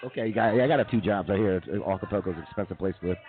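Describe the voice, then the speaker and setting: A man's voice: fairly low pitch, very fast, music in the background. An American man co-hosting a comedy radio show in casual, joking conversation. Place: live remote broadcast at a brewery. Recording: tinny, phone-like sound.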